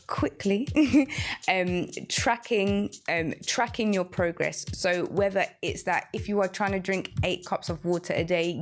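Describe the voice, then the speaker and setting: A woman radio host speaks in a fairly low register. She gives practical advice in a conversational way, with faint music underneath.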